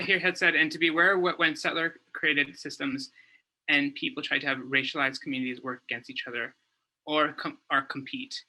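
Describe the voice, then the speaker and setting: Very bright-voiced man, halting delivery. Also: medium pitch, low-quality recording. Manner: halting, searching for words, repeats phrases. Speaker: panelist and community developer. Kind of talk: informal panel discussion. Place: online video call.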